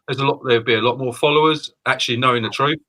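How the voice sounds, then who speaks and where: A man's voice: mid-range voice, steady tone, rapid-fire, bright, forceful tone. A man co-hosting a live stream, agreeing and ranting, often repeating and stumbling over his words. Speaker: co-host, business owner.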